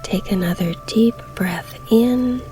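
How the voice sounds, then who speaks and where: A woman leading a scripted guided meditation in a soft, breathy, soothing delivery with long pauses. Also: fairly high pitch. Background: calm background music.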